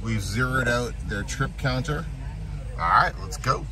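A man in his thirties hosting a casual road-trip vlog, chatty and excitable, talking straight to his viewers from a car and roadside rest stops on a handheld camera. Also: medium pitch, unhurried. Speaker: car vlogger. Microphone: phone or camera held at arm's length. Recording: noisy road and car background.

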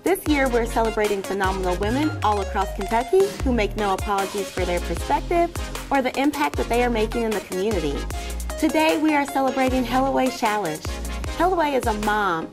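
A woman's voice: a little high, expressive, a little fast, music in the background. A woman hosting a talk show, asking questions in an upbeat, encouraging way. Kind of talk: hosted interview.

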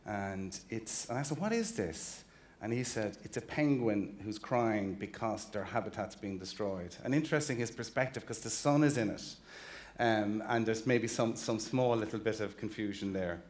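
A man's voice: fairly low pitch, normal speed, a little mellow. A man in his fifties with an Irish accent, giving an earnest, emphatic conference keynote on a large convention hall stage, walking as he talks and often restarting phrases. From Ireland. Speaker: chief executive giving a keynote. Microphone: stage mic, walking the stage with a slide clicker.

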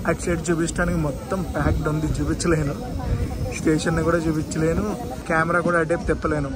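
A man's voice: on the high side, little pitch movement, fast talker. Chatty man narrating a train ride. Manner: chatty, addresses viewers directly. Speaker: travel vlogger. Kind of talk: train journey commentary. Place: inside a moving train at night. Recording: noisy train carriage recording. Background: train rattle and station noise.

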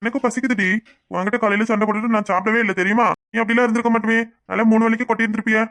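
A man's voice: high-pitched, level tone, racing, fairly bright tone, no echo. A man playing an affectionate, teasing young husband in a domestic drama, coaxing, familiar and apologetic. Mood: soothing. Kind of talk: domestic serial drama acting.

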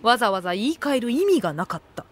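Woman narrating anime drama, kinda high voice, really lively, music under. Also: quick pace, slight background noise. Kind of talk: scripted comic audio drama narration. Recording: music behind the voice.

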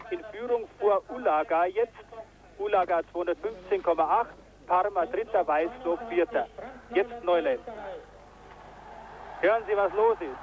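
A man giving live commentary on a ski jumping event, getting excited on big jumps, from an old broadcast tape. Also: high voice, rough recording. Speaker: sports commentator.

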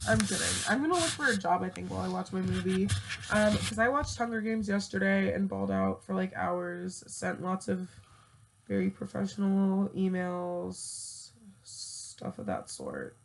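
Young woman, twenty, casual bedroom vlog, earphone mic, profane rambling, middle pitch. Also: big pitch swings, normal speed, gravelly, background noise. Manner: rambling, profane, thinks aloud. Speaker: vlogger. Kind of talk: casual chat to camera. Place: small bedroom, indoors. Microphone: earphone mic, laptop webcam.

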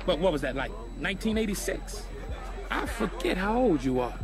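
An actor playing an arrogant rival rap label star in a video game, mocking and swaggering. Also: male voice, high voice, very animated, normal speed, music in the background. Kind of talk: video game cutscene acting.

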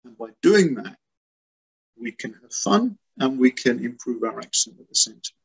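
A man in his sixties from England, a guest author on a video call from a small room at home, explaining with lively, emphatic repetition. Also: medium pitch, moderate pace, gravelly, slightly rough, rough recording. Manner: animated, gestures as he explains; lively, emphatic with repeated words. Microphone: laptop webcam on a video call.

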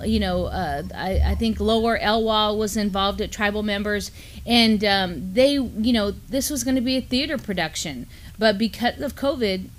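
A middle-aged American woman co-hosts an informal news chat. Her voice sits at an average pitch, and she speaks in a conversational, somewhat halting way. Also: slight background noise. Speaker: news show co-host. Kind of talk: casual news discussion. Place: indoor studio at a table.

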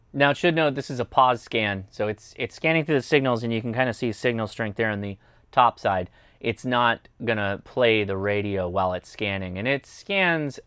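A man's voice: fairly low pitch, fairly bright tone, no room sound, clean studio sound. An American man in his thirties giving a casual, explanatory product review and demonstration. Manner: informal, explanatory. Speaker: host, radio reviewer. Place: indoors, home radio studio and workbench.

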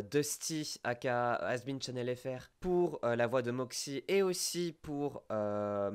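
A man's voice: mid-range voice, clear, resonant tone. A man giving a casual thank-you outro as a video creator, somewhat hesitant.

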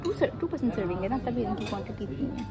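A woman's voice: on the high side, animated, very fast, over a noisy crowd. A young woman with a Pakistani accent chatting casually and informally as a vlogger, dropping in English words. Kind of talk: casual vlog chat.

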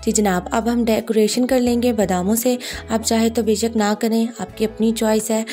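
A woman hosting a home cooking video, guiding each step in a steady voice-over and often repeating "ye dekhiye ji". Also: a little high, normal speed, faint music behind. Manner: warm, reassuring, repeats "ye dekhiye ji" and "ji janab". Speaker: cooking channel host.

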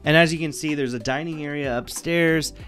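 A man in his thirties casually narrating a walking tour outdoors on a city street, friendly and relaxed with plenty of fillers, recorded on a phone held at arm's length. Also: fairly high pitch, brisk, soft background music. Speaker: local vlogger.

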